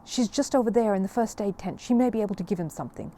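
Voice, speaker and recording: A woman's voice: medium pitch, fast, mellow, a little hiss or hum, pitched above the performer's own voice, lightly acted. A woman voicing an eager, bossy schoolgirl in a children's storybook. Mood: urgent.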